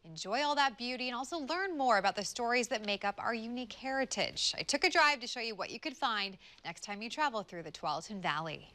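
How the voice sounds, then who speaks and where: A woman in her thirties reporting a local news feature in a studio, with smooth scripted newscaster delivery. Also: on the high side, lively intonation, fairly quick, few pauses, sharp tone, a little hiss or hum.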